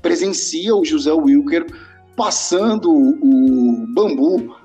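A man's voice: on the high side, lively intonation, slow pace, mellow, highs cut off, faint music behind. A man from São Paulo, chatting casually and rambling as a podcast co-host, swearing freely. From São Paulo, Brazil. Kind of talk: casual chat.

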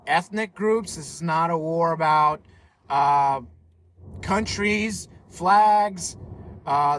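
Slow, earnest higher-voiced man. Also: fairly bright tone, slight background noise. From Colombia, raised in America. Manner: earnest, repetitive preacherly emphasis. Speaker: podcast host.

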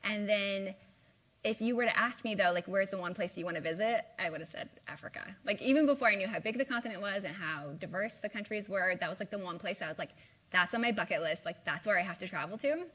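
A woman's voice: mid-range voice, fast talker, fairly bright tone, husky. A young woman from the American Midwest giving an informal live talk to a small university group, chatty with plenty of "like" and "um". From Midwest US (Indiana). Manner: chatty, lots of "like" and "um". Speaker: guest speaker. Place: university lecture room.

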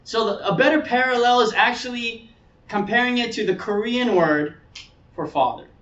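A man's voice: extremely high pitch, normal speed, fairly bright tone. A man preaching a sermon as a pastor, teaching conversationally and earnestly, repeating phrases for emphasis. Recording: roomy, distant mic, dull sound.

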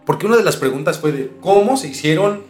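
A man with a Mexican accent hosting a casual podcast, telling stories informally and haltingly with repeated words. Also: on the high side, expressive. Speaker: podcast host. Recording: music under the voice. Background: background music.

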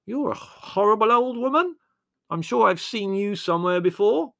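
A man's voice: sits high, lively intonation, moderate pace, vocal fry, fairly bright tone, dry recording, studio quality, voice pushed up, exaggerated voice. A man voicing a pompous, lazy grown son in a storybook reading, self-important, complaining and lecturing. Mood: drunk disdain. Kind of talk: acted audiobook character dialogue.

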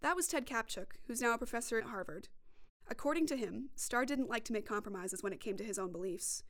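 A woman's voice: on the high side, animated, a little fast, a bit bright. A woman reporter reading scripted narration for a podcast documentary.

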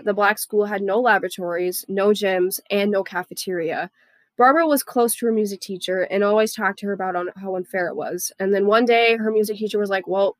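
A woman's voice: mid-range voice, no echo. Young woman with a stuffy, nasal head cold. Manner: casual, read-aloud storytelling with fillers. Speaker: podcast host. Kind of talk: student history podcast narration.

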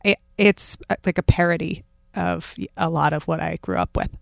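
A woman in her thirties from California, speaking with a fairly low pitch for a woman. She tells stories from her childhood casually and with animation. Her voice sounds dry and close to the mic. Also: normal speed, creaky, no echo. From Palo Alto, California. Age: 30s. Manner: animated storyteller, acts out quotes. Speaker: podcast guest. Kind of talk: casual interview storytelling.

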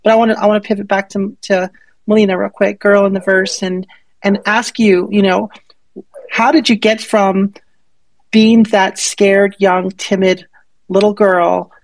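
Gravelly playful woman hosting a chat. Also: mid-range voice, flat delivery. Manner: playful, joking, drawn-out questions. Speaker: host of live audio chat. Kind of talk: casual live audio chat.

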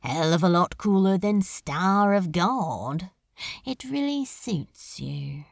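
Woman playing a male demon, cool, guarded, casual and clipped, tender underneath, swooping pitch, storybook reading. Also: expressive, takes it slowly, creaky, dry recording, clean studio sound, full character voice. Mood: curious, casual. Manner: casual, clipped, sometimes hissing.

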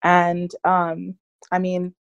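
A woman's voice: on the low side, completely flat delivery, extremely slow, dry recording, highs cut off. A young woman from Nigeria, a student guest in an interview, talking reflectively with many fillers like "like" and "you know". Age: young adult. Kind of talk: casual interview conversation.

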